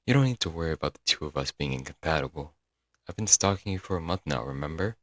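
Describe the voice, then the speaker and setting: A man's voice: very deep voice, gravelly, no echo, clean studio sound, exaggerated voice. A man playing a young, lovesick and clingy stalker in an intimate, earnest ASMR audio roleplay. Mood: possessive, adoring. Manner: doting, earnest, dramatic with sighs and chuckles. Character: lovesick, broke young male yandere stalker-kidnapper, clingy and sweet.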